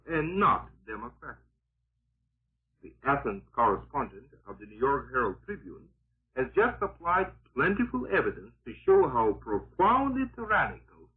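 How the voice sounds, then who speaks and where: A man's voice: mid-range voice, slightly rough. A man reading scripted 1940s radio political commentary in an emphatic, oratorical and sardonic manner. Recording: muffled, narrow-band vintage 1940s radio broadcast.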